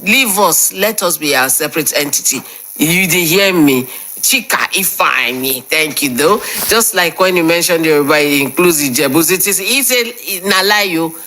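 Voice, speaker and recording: A man's voice: a little high, pushed and bright, slight background noise. A man with a Nigerian accent speaking emphatically in a played clip, switching between English and Pidgin. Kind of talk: casual spoken message.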